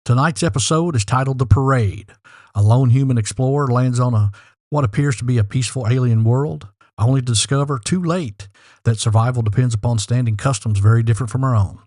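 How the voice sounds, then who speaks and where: A man's voice: medium pitch, brisk, a little mellow, dry recording, clean studio sound. A man hosting a show with scripted narration. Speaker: show host.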